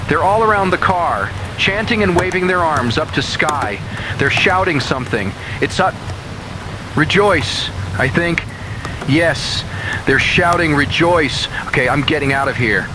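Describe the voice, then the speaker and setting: Clear noise sits behind a fairly high male voice, a frightened field reporter played by an actor. He gives an anxious, urgent eyewitness report in a radio horror drama. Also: animated, a little slow. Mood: panicked. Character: frightened male roving reporter fleeing a frenzied mob.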